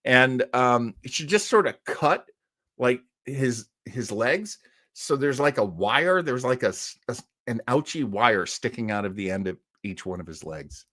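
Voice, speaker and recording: A man hosting a live show in casual chat, reading out and answering listener comments in a halting, rambling way with jokey asides. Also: medium pitch, expressive, sharp tone, no room sound, clean studio sound.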